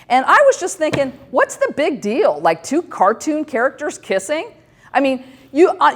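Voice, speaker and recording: A woman in her fifties hosting a talk show, talking candidly and joking at her own expense, with many fillers and restarts. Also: on the high side. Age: 50s. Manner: candid, self-deprecating, rambling with many fillers. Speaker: host. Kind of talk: casual talk show monologue.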